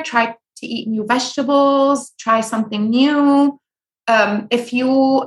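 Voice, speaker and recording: A young woman from Syria, a food blogger, giving earnest advice in a relaxed interview with frequent ums and restarts. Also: on the high side. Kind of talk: conversational interview.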